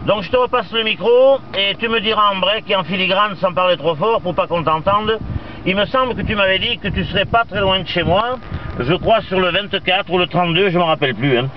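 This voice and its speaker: A man's voice: high voice, brisk. A man chatting casually as an amateur radio operator, talkative and full of radio jargon, over a radio transmission. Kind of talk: casual radio contact chat. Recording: muffled, noisy two-way radio transmission with vehicle noise.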